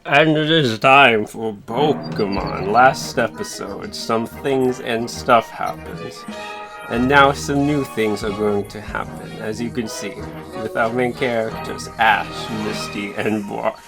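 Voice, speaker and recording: A man voicing a laid-back, matter-of-fact cartoon travel companion and mock narrator in an improvised fandub, deadpan and unhurried. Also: on the low side, creaky, over music. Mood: mock-serious.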